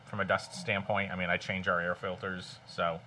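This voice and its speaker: Male podcast host, low-pitched, bright edge, blunt and profane. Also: flat delivery, moderate pace, a little hiss or hum. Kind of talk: casual chat.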